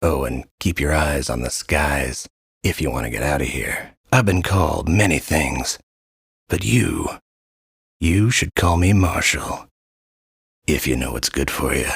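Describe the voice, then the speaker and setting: An actor playing a gruff, world-weary guide in a cartoon video game, giving terse warnings with dry humor. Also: male voice, lively intonation, measured pace, no room sound, heavily acted. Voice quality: deep, gravelly. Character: gruff, world-weary male mentor guide, tough and wry.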